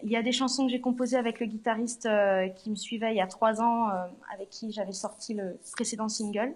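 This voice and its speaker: Woman singer, guest interview at a venue; slightly high, hesitant, many fillers. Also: steady tone, a little fast. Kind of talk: live interview on location. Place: arts centre venue.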